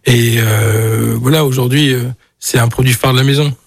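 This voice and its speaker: Gravelly, husky man. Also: medium pitch, fairly even intonation, no echo, clean studio sound. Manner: halting, hesitant.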